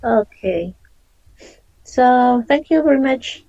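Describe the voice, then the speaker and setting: A woman's voice: high-pitched, expressive, very slow, mellow, lo-fi sound. A woman, teaching an online language lesson and patiently explaining and repeating questions. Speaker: Spanish teacher.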